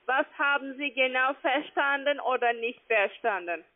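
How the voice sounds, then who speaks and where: High-voiced foreign woman on a phone line. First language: not German. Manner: halting, ungrammatical German. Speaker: call-centre trading account agent. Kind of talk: phone sales call. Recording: narrow tinny phone line.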